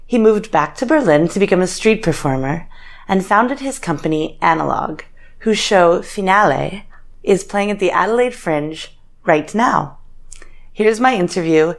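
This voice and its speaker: Upbeat podcast hostess, lowish voice. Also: female voice, animated, a little slow, gravelly. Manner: upbeat, brief encouraging responses. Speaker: podcast host. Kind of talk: scripted intro, then casual interview.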